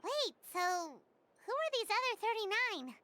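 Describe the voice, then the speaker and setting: A tiny game fairy with an extremely high, squeaky child's voice that swoops about animatedly. She is a chatty, blunt companion who doubts what she hears, with music playing behind her. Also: sing-song, unhurried, sharp tone, heavily acted. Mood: skeptical. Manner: refers to herself in third person, blunt. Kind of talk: video game character acting.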